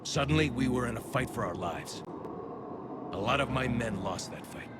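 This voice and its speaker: A man's voice: medium pitch, expressive, moderate pace, natural voice. A man voicing a dutiful, remorseful alien soldier in a video game, formal and earnest in a military way. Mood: sombre, regretful. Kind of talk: dramatic video game acting. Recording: noisy battlefield ambience behind voice.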